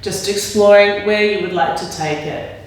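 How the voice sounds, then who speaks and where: A woman leading a yoga class with calm, gentle spoken cues. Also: mid-range voice, normal speed. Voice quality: slightly raspy when straining. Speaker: yoga instructor. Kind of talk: guided yoga class instruction. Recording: very echoey room, mic at a distance.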